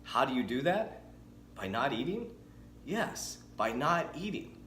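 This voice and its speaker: A man's voice: mid-range voice, unhurried, echoey room, slight background noise. A man in his forties, a fitness coach, talking energetically and chattily to camera with frequent restarts. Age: 40s. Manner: energetic, chatty, self-correcting. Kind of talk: casual talking-head vlog.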